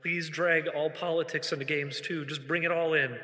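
A man with a bright, slightly high voice delivers a mock-earnest, sarcastic rant. A long reverb trails behind his words. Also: steady tone, normal speed. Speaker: comedy animation voice actor.

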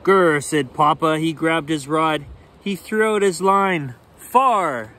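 A man in his forties reading a children's picture book aloud expressively, in simple, deliberate phrasing. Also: on the high side, sharp tone. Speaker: storyteller reading children's bedtime stories. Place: outdoors on a rainy forested shore. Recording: outdoor ambient noise.

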